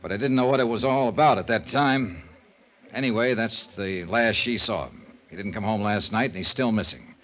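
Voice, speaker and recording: A man's voice: on the low side, a little fast, fairly bright tone, lightly acted. An actor playing a tough, sardonic insurance investigator in a 1950s radio drama, clipped and blunt, narrating his own case. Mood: calm, matter-of-fact. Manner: sardonic, clipped, hard-boiled. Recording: 1950s radio recording with music.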